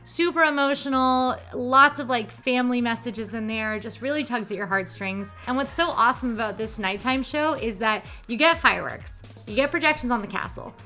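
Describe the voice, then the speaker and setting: A woman's voice: on the high side, sharp tone. A young woman reviewing theme park rides in an enthusiastic, chatty way with playful asides. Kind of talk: upbeat ride review. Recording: music bed under voice.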